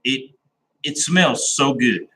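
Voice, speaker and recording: A man's voice: mid-range voice, slow, pushed and bright, a little hiss or hum. A man chatting casually with viewers on his live stream, rambling and laid-back, with a folksy, plain-spoken way of talking. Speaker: livestream host chatting with viewers.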